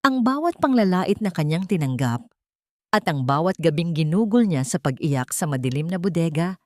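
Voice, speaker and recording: Woman storyteller, lower voice, dramatic and measured, in a dry booth sound. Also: a little mellow, dry recording. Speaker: narrator.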